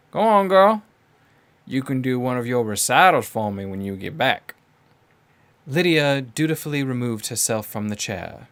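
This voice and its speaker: A man performing scripted first-person narration of a dramatic story. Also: fairly low pitch.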